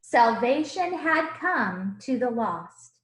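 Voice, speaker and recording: A woman voicing a gentle, earnest Jesus who tells his own storybook tale in rhythmic rhyme. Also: a little high, very animated, slow. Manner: rhythmic rhyming storybook delivery. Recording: roomy, echoing room sound.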